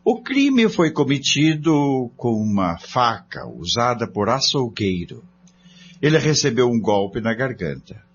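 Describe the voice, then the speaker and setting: A man's voice: medium pitch, big pitch swings, slow. A man with a Brazilian accent giving scripted, dramatic narration in a radio serial. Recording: dull lo-fi, faint hum.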